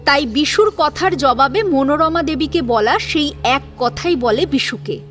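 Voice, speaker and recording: A woman's voice: high voice, expressive, measured pace, a bit bright. A woman narrating a scripted story in a dramatic storytelling style. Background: background music.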